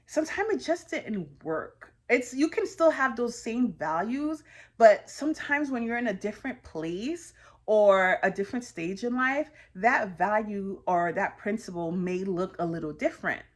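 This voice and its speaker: Thirtysomething american woman just talking it out, earnest, lots of ums, mid-pitched voice. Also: animated, unhurried. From United States. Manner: earnest, conversational, with frequent fillers. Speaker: host sharing personal reflections. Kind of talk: reflective personal monologue.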